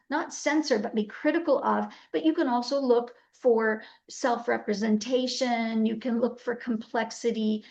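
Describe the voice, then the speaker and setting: Editor presenting research, earnest and emphatic; raised pitch, gravelly edge, lo-fi sound. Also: female voice, a little mellow. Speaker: book editor. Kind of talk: panel presentation. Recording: dull lo-fi audio.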